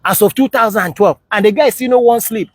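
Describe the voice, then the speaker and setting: Casting: Nigerian man, fairly high husky voice, dry sound, informal vlog storyteller who advises and checks you follow. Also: fast, dry recording. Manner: advising, often checks listeners understand. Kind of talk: casual chat, storytelling in Nigerian Pidgin and English.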